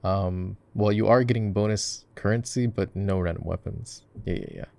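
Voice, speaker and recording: A man acts out an easygoing gamer boyfriend with a fairly deep voice full of vocal fry. He chats casually and affectionately, teasing his partner as he coaches in a roleplay over gameplay. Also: normal speed, warm tone, no echo. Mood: easygoing, explaining. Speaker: voice actor. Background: keyboard typing and mouse clicking.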